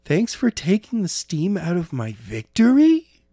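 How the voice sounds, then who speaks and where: A man's voice: sits high, huge pitch swings, measured pace, mellow, dry recording, clean studio sound, voice pushed up, exaggerated voice. A man voicing a determined, competitive young woman training in martial arts, earnest and exclaiming, in a storybook reading. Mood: eager, competitive.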